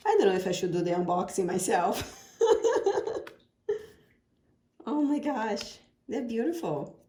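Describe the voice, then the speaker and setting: A woman's voice: medium pitch, animated, a little slow, dark tone, roomy sound. A woman from Brazil with a Brazilian Portuguese accent, speaking English as a second language and gushing as she unboxes her own oracle deck. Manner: gushing, repeats words of praise. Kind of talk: product unboxing vlog.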